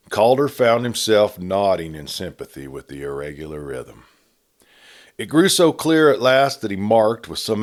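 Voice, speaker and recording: A man with a very deep voice narrates a Western story aloud. His delivery is measured and steady, like a storyteller by a campfire. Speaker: storyteller reading a novel aloud.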